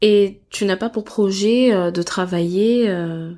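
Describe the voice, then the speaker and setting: A woman hosting a podcast, interviewing her guest with gentle, slightly hesitant questions. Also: mid-range voice, measured pace. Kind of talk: conversational interview.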